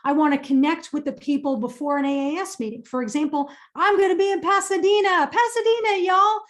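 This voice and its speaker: A woman's voice: high voice, fairly quick. A woman presenting a career webinar and answering audience questions in an upbeat, emphatic way, often repeating phrases for stress.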